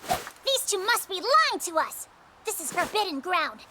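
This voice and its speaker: A woman voicing a chatty, cocky cat-like sidekick in a theatrical, emphatic way for an animated game scene. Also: very high-pitched, a little slow, very noisy, heavily acted. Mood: indignant. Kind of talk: animated game cutscene acting.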